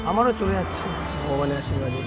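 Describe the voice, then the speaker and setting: A man's voice: on the high side, expressive, quick pace, natural voice. An actor playing an earnest young guitarist who is proud and touchy about his music, in a short film drama. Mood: reserved, teasing. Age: young adult. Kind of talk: acted dialogue in a Bengali short film.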